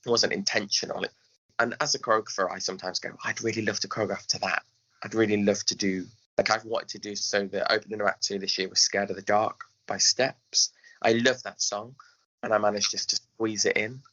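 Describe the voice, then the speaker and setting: Bright-voiced English man, slightly muffled recording. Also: fairly low pitch, level tone, a little fast. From England. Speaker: guest, pantomime director and choreographer. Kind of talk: conversational interview. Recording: dull lo-fi audio.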